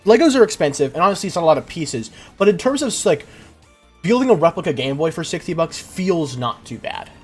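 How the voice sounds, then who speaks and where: Animated young man chatting on stream. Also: on the high side, a little fast, breathy edge, faint music behind. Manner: slangy, profane, self-deprecating. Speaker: livestreamer, host. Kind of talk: casual livestream chat while building.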